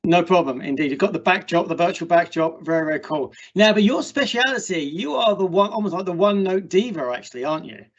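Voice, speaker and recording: A man's voice: high voice, expressive, quick pace, hardly any pauses. A man hosting an online meetup, chatting casually and ramblingly as he interviews a guest over a video call. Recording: dull, muffled call audio.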